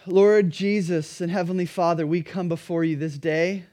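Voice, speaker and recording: A pastor preaching a sermon in an earnest, emphatic way, his throat a little dry and raspy. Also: sits high, steady tone, moderate pace, a little mellow.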